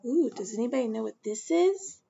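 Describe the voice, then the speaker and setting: A woman teacher reading a picture book aloud to children, gentle and slow, with questions to her listeners. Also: on the high side, animated, gravelly, slightly rough, muffled recording.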